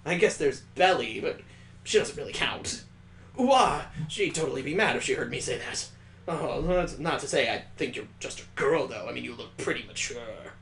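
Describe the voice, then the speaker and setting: A man voicing an awkward, nervous young man from a visual novel, babbling and stammering haltingly. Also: mid-range voice, animated, creaky, a bit bright. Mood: flustered. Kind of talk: visual novel character reading. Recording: echoey, roomy sound.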